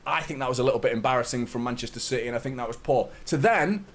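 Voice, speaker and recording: A man in his thirties with a slightly hoarse voice, hosting a live football fan stream, chatting to viewers and ranting with strong opinions. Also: medium pitch, racing. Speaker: host of a football fan watchalong. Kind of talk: live stream chat and commentary.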